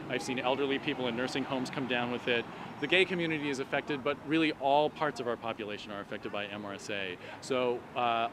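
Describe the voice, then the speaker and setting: A man speaking as a doctor and activist, explaining an issue in measured, articulate phrases during a street interview. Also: medium pitch. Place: outdoors on an urban street at a protest. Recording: noisy city street with traffic. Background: street and traffic noise.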